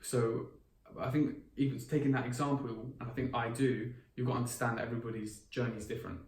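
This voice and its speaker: A man's voice: medium pitch, flat delivery, fast talker, a little mellow. Young man, echoey room. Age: 20s. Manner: rambling, many restarts and fillers. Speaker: podcast co-host. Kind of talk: casual chat. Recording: echoey room, mic at a distance, faint ambience.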